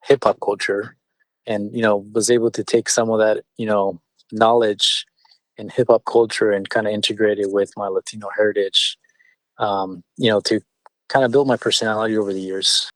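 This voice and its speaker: A man whose first language is Spanish, a business owner answering interview questions in a measured way with frequent fillers and restarts. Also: on the low side, fairly even intonation, a bit bright, no echo, low-quality recording. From born in California, partly raised in Mexico City. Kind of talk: conversational interview.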